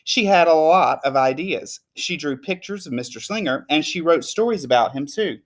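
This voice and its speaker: Animated male teacher reading a story aloud. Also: fairly high pitch, moderate pace. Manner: animated, emphatic. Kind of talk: expressive children's story reading.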